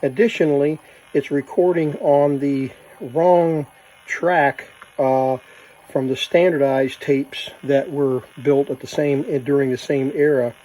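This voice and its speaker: A man's voice: on the high side, fairly even intonation, takes it slowly, warm tone, low-quality recording. A man giving a casual, unscripted hands-on demonstration, thinking aloud as he works as a hobbyist presenter. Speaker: vintage tape recorder hobbyist, presenter.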